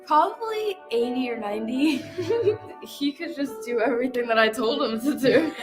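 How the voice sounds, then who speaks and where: A woman's voice: sits high, animated, measured pace. A young adult woman answering interview questions in a candid way, laughing often. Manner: giggly, candid. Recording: video clip with music behind.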